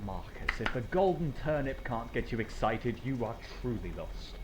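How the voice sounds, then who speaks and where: A man's voice: mid-range voice, sing-song, moderate pace, noisy background, lightly acted. A man playing an earnest, pedantic video game trivia nerd who gets exasperated easily, in a comedic dramatized dialogue. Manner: pedantic, emphatic, indignant when doubted.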